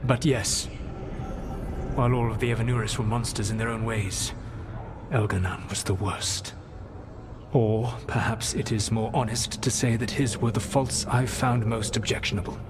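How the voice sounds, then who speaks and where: A man performs an ancient, proud elven god for a fantasy game. His voice sits low, with measured, formal phrasing and a weary, regretful weight. A noticeable ambient rumble runs behind him. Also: steady tone, close to an everyday voice. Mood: grave, measured. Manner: deliberate, formal, reflective. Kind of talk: dramatic video game acting.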